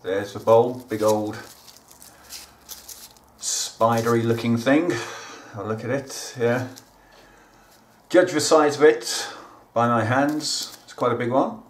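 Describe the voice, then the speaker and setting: A man's voice: medium pitch, fairly even intonation, slow, pauses often, slight background noise. Chatty man showing plants. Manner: chatty, plain-spoken, talks to viewers directly. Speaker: gardening channel host. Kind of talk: casual how-to vlog. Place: indoors at home, kitchen counter.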